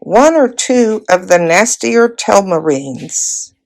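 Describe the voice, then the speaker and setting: A woman reading a children's fantasy novel aloud in an expressive storytelling style. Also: mid-range voice, slow, rough recording. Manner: acts out dialogue in character voices. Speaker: volunteer storybook reader.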